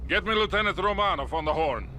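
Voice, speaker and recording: A man's voice: high voice, expressive, fast, bright, forceful tone. A man playing a wry, commanding veteran Soviet colonel in cinematic game dialogue. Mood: serious. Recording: clear outdoor vehicle noise.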